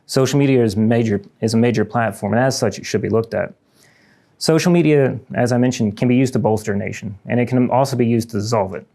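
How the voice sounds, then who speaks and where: A man's voice: mid-range voice, quick pace, creaky, warm tone. A young man from Ukraine giving a prepared student lecture in a lecture room, earnest and reading from notes with small restarts.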